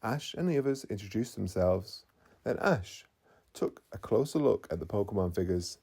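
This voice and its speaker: A man narrating a children's bedtime story in an expressive storytelling manner. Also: lowish voice, moderate pace, gravelly, husky. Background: faint music.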